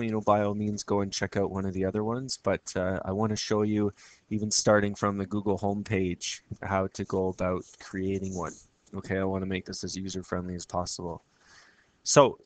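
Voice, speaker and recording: A man who teaches, talking casually through a screen-recorded software tutorial, his voice a bit raspy from a cold, with plenty of fillers and restarts. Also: lowish voice, no echo. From Canada. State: has a cold, slightly raspy.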